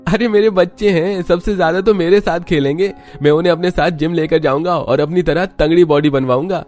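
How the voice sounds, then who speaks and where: A man's voice: a little high, fairly quick, a little mellow, soft background music, pitched above the performer's own voice, natural voice. A man voicing an earnest young husband and father-to-be in a cartoon story. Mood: cheerful, proud.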